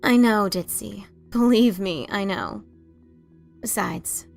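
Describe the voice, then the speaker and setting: A woman voicing a brash, adventurous pegasus mare in a theatrical, expressive fan fiction reading. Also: medium pitch, slow, vocal fry, soft background music, heavily acted. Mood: subdued. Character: brash adventurous pegasus mare, writer, bickering older sibling.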